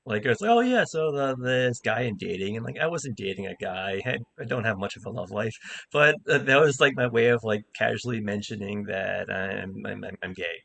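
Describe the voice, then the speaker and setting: A man with a stutter speaks in a fairly low voice, talking candidly and thoughtfully. His sentences halt and restart often, with repeated words, in a relaxed casual chat. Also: moderate pace, gravelly, few pauses. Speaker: comic and improv teacher. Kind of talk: casual conversation.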